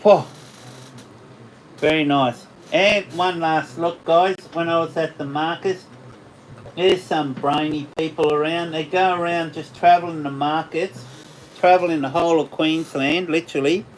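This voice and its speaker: An Australian man hosting a casual livestream, chatting with viewers in a rambling way, his voice slightly hoarse after hours of talking. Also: a little high, fairly even intonation, unhurried, slight background noise, poor audio. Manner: rambling, chatty, laughs often. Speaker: livestream host. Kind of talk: casual live chat with viewers.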